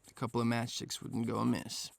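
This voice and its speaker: An actor playing an earnest, deferential army corporal in a video game cutscene. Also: male voice, mid-range voice, completely flat delivery, a little fast, gravelly. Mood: wry. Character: earnest young male American army corporal. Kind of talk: scripted game cutscene dialogue.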